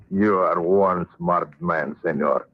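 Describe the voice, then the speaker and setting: A man's voice: sits low, slightly rough, full character voice. A man playing a gloating, mock-polite Spanish villain with a Spanish accent in an old-time radio western. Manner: slow, mock-polite, chuckling menace. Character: gloating Spanish villain, a menacing Don who relishes torture. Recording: muffled vintage radio recording.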